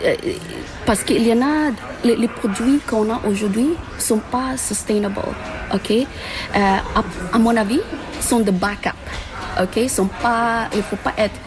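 A woman from the Philippines, answering an interview at a climate event in halting speech full of fillers and restarts. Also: a little high, over music. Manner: halting, many fillers and restarts, mixes in English terms. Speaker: conference participant.

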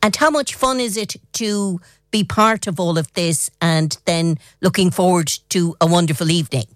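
A woman's voice: lowish voice, moderate pace, dry recording, clean studio sound. A woman working as a radio news reporter, presenting a report and asking interview questions.

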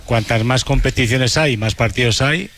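A man from on a sports radio panel, questioning and quick to agree. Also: medium pitch, noisy background. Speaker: radio pundit. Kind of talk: casual sports radio chat.

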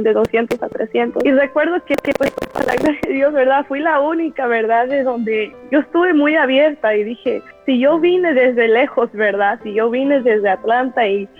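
Woman, heartfelt church testimony, halting with many restarts, phone-thin sound, soft music underneath. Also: high-pitched. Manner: earnest, halting, keeps adding "¿verdad?". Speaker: church member giving a testimony. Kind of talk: personal faith testimony. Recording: tinny phone-like line with faint music.

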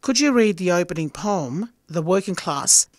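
A woman hosting a community radio poetry show, giving measured, formal introductions from a studio. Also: lowish voice, expressive, no echo. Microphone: studio microphone.

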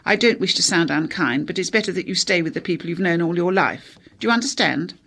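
A woman's voice: lowish voice, animated, fast, a bit bright, husky, exaggerated voice. A woman voicing a haughty, antagonistic grand wife with a clipped upper-class English accent, in an audiobook. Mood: cool, condescending. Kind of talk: audiobook character dialogue.

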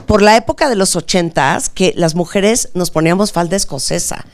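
A woman's voice: fairly low pitch, normal speed. A middle-aged Mexican woman hosting a chat, teasing and exclamatory. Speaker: TV host. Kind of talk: lively playful chat.

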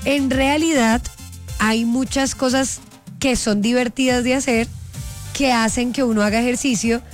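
Woman co-host, higher voice, bawdy and animated, light music bed. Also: unhurried. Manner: playful, bawdy, animated storyteller. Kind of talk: casual chat.

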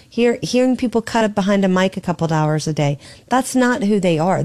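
A woman filling in as a radio talk host from her home studio, hoarse and losing her voice, talking in a chatty, opinionated way. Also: deep voice, darkish tone. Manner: chatty and opinionated, thinking aloud. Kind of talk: casual talk radio commentary.

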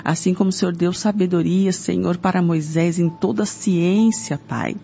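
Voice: mid-range voice, steady tone, moderate pace, gravelly, mellow, a little hiss or hum. Fervent Brazilian prayer leader, dull lo-fi sound. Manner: fervent, pleading, punctuated by repeated invocations. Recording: dull lo-fi sound with music behind. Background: music.

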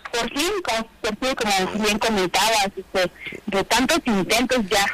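A woman whose first language is Triqui, co-hosting a bilingual community radio show and speaking haltingly with frequent fillers. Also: medium pitch, crowd noise, poor audio. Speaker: radio co-host.

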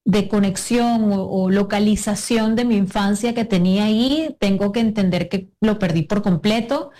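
A woman in her thirties from Venezuela speaks earnestly in an interview, often pausing and restarting her thoughts. Her voice has a mellow, relaxed timbre. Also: medium pitch. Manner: earnest, with frequent restarts and hesitations. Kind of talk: emotional interview answers.

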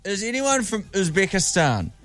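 A man's voice: high voice, sing-song, normal speed, a bit bright, soft background music. A man with a New Zealand accent co-hosting breakfast radio in a studio, trading dry, teasing jokes. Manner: joking, dry teasing banter. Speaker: radio co-host.

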